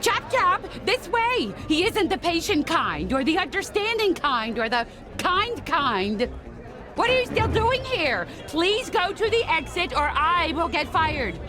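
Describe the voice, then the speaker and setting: A jittery young cartoon assistant. Her high, animated voice chatters anxiously over music and crowd noise. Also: a little slow, heavily acted. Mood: anxious, urgent. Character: nervous chatty young female film assistant. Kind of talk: cartoon game acting. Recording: loud crowd and music behind.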